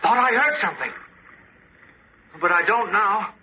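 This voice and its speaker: A man's voice: sits high, expressive, a little slow. An actor playing an urgent, clipped policeman hunting a killer in an old radio drama. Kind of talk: 1950s radio drama acting. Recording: muffled old radio, faint noise.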